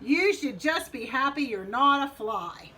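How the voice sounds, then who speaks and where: A woman acts out a storybook wolf, high and swooping in voice. The wolf is hungry and boastful, yet offers knowing, friendly advice with drawn-out emphasis. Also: animated, sharp tone, full character voice, poor audio. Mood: knowing, emphatic.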